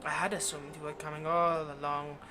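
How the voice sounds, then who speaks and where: A performer playing a smug, possessive vampire in an ASMR roleplay, teasing and condescending with quiet menace. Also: medium pitch, level tone, fairly quick, background noise, close to an everyday voice. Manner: teasing, condescending, drops to whispers.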